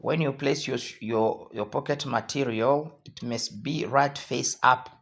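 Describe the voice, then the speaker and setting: Hoarse male tutorial host, slow and repetitive instructional delivery. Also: mid-range voice, steady tone, a bit bright.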